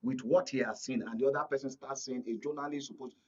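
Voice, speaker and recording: Husky, insistent Nigerian man, lo-fi audio. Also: medium pitch, fairly even intonation, fast. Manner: insistent, argumentative, repeats points. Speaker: journalist and panel guest. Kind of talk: heated panel debate. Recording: dull, lo-fi broadcast audio.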